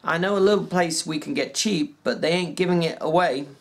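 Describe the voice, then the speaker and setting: A reader doing a weathered ranch hand who talks in a folksy rural drawl, his voice pushed noticeably higher than the narration. He rambles along in plain country words with small stumbles and fillers. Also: brisk, close to an everyday voice. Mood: wistful. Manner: raised pitch, stumbles and fillers. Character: plainspoken ranch worker man dreaming aloud. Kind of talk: audiobook reading.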